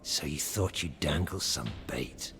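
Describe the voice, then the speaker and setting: An actor playing a gruff, distrustful male bounty hunter in a game cutscene, terse, blunt and hard-boiled. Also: extremely low pitch, measured pace, a bit bright, slight background noise, full character voice. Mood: suspicious. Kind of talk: video game cutscene acting.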